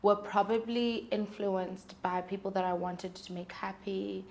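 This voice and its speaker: A woman's voice: mid-range voice, measured pace, slight background noise. A young woman with a South African accent, chatting casually and expressively as an interview guest about her own life. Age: young adult. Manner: expressive, animated storytelling. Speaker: interview guest, singer-songwriter.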